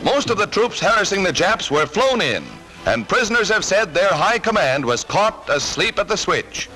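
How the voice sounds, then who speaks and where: A man's voice: high-pitched, very animated, moderate pace, rough recording. A man narrating a 1940s wartime newsreel in a punchy, emphatic delivery. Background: loud orchestral music.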